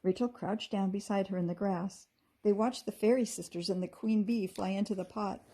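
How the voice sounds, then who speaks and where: A woman with a husky voice reading a children's story aloud expressively, like a teacher to her class. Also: mid-range voice, steady tone, normal speed, warm tone.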